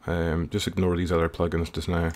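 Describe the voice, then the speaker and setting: A man's voice: sits low, flat delivery, brisk. A man giving a casual, informal tutorial as a music producer, explaining in a hesitant way. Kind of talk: casual screen-recorded software tutorial. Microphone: voice-over recorded while working at computer.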